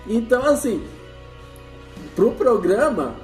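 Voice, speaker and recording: Mellow Brazilian man in an echoey room. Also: very high voice, very animated, takes it slowly, soft background music. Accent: Brazilian Portuguese. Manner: chatty, jokey, many restarts and laughing asides. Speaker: co-host, husband and father.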